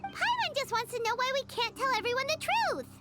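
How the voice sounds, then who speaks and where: A woman voicing a tiny, cheeky, childlike female fairy companion with a squeaky voice in a video game. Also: very animated, a little fast, full character voice. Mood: skeptical, indignant. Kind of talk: English video game voice acting. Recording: loud game music behind.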